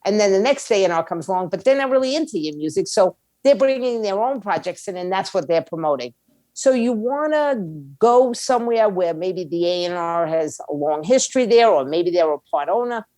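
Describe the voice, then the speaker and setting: A woman's voice: medium pitch, expressive, fairly quick, dark tone, no echo. A middle-aged woman giving candid, plain-spoken advice as an expert guest in a conversational interview. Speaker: guest, music industry attorney.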